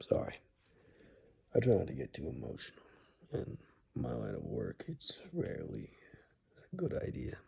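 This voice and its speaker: Casting: male actor as rugged, weary monster hunter for ASMR roleplay; extremely low, gravelly, breathy voice with heavy fry, sliding widely in pitch. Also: warm tone, full character voice. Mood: reflective, guarded. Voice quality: very deep, gravelly, breathy near-whisper. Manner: slow, grave, halting.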